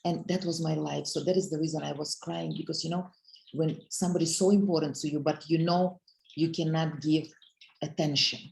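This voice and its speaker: A woman's voice: sits low, steady tone, a little fast, creaky, darkish tone, rough recording. A middle-aged woman with a Russian accent gives a heartfelt motivational talk on a video call, speaking earnestly and addressing her audience directly.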